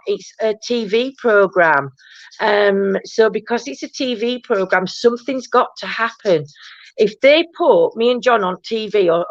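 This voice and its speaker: A woman hosting a paranormal chat stream, talking casually with a loud, booming voice and many restarts. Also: no room sound. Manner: rambling, many restarts and "do you know what I mean". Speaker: host of a paranormal chat show. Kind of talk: casual live-stream chat reading viewer comments. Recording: dull, dry low-fi stream audio.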